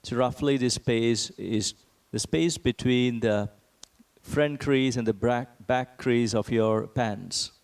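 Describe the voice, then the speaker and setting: A man teaching a guided meditation, speaking in a gentle, measured manner with long pauses between phrases. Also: mid-range voice, creaky.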